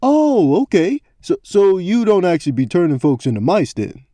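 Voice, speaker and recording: A man's voice: high voice, very animated, moderate pace, warm tone, no echo. A man playing a nervous, polite, scaly reptilian priest who stammers and talks in a folksy way in a fantasy audio drama. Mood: scared, evasive. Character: nervous, evasive, polite male reptilian priest with scales and tail.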